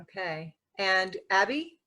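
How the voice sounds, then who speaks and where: A woman in her forties hosts a casual podcast chat with a crisp, bright voice. She talks in a relaxed, chatty way, laughing easily between thoughts. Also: mid-range voice, slow pace. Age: 40s. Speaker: podcast host.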